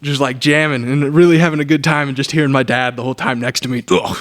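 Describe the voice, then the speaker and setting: A man in his late twenties with a middle-pitched voice, hosting a relaxed podcast conversation. He tells stories animatedly, breaking into laughter often and cursing freely. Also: fast talker, background noise. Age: late 20s. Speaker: podcast host. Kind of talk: casual podcast chat.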